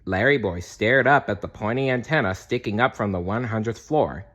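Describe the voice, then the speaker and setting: A man reading a children's storybook aloud as scripted narration, dramatic and expressive. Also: lowish voice, moderate pace. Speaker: storybook reader.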